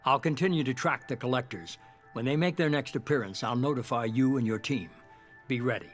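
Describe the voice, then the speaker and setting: An actor playing a shadowy, calculating middle-aged leader in a sci-fi game, speaking with measured, composed authority. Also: male voice, medium pitch, faint music behind, lightly acted. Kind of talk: cinematic sci-fi game dialogue.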